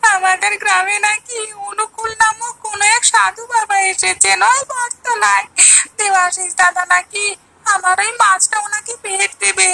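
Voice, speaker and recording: A woman's voice: very high voice, fairly even intonation, moderate pace, creaky, bright, forceful tone, faint music behind, heavily acted. A woman playing a poor young village boy in a cartoon story, hurt and plaintive, with a tearful whine. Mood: tearful, aggrieved. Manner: whining, tearful. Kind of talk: Bengali animated moral story acting.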